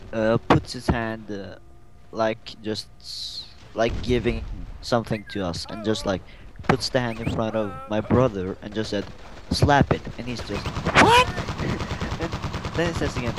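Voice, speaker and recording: A young man telling stories in a casual, halting, giggly way with lots of informal slang. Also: medium pitch. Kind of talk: casual chat, storytelling. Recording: very noisy amateur mic, clicks. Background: loud game gunfire.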